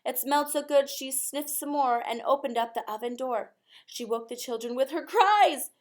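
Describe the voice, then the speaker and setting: A woman reading a rhyming picture book aloud expressively, in a measured sing-song rhythm. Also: high voice.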